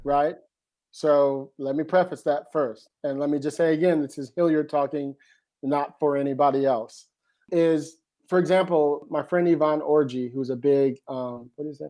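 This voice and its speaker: A man's voice: on the high side, steady tone, normal speed, dark tone. A man, a screenwriter, talking casually and animatedly as a guest, often checking in with "you know what I mean". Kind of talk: casual conversation.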